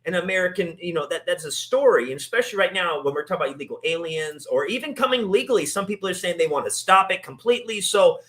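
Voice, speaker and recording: A man's voice: high voice, lively intonation, fast talker, few pauses, a bit bright. A man hosting a casual interview, talking in long rambling turns full of restarts and fillers. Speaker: podcast host. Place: in Italy.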